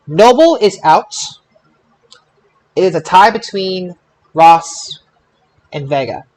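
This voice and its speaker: A man hosting a casual podcast results show, announcing in a drawn-out way with repeated phrases. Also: sits high, animated, darkish tone, rough recording.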